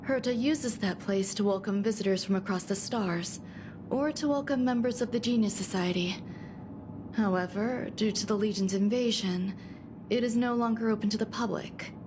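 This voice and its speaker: An actress voicing a calm, courteous woman scientist in a video game, speaking in a measured, formal, understated way. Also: medium pitch, background noise, close to an everyday voice. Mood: serious, instructing. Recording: music behind the voice. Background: game music.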